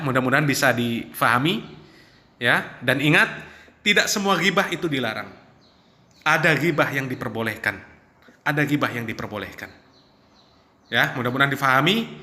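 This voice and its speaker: A man's voice: medium pitch, bright, forceful tone. A man giving an Islamic religious talk in an emphatic, didactic way, repeating his key phrases. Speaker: Islamic preacher. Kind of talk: religious talk, rebutting criticism. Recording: echoey room.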